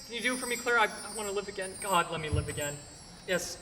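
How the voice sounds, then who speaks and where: Young actor as excitable high-voiced family man, echoey hall. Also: rapid-fire, a crowd in the background. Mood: pleading. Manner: excitable, stammering. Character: desperate, then overjoyed small-town family man George. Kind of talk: stage radio-play acting. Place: stage in a hall. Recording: echoey hall, faint noise.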